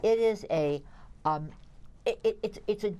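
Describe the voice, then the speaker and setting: A woman's voice: mid-range voice, measured pace, dark tone, clean tone, dull audio. A woman co-hosting as a theatre critic, chatting through reviews in a wry, opinionated way. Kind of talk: conversational review banter.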